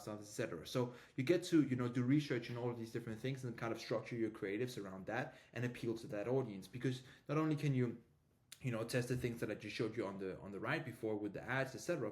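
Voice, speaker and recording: A man giving an informal tutorial as a marketing coach, explaining things with frequent filler phrases and repetition. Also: mid-range voice. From Denmark. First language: Danish.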